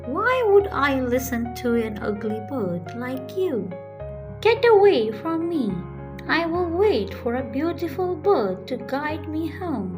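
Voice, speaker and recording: A woman's voice: sits high, very animated, slow pace, music in the background, heavily acted. A woman voicing a vain, arrogant young princess in a children's story, with a haughty, dramatic delivery. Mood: scornful.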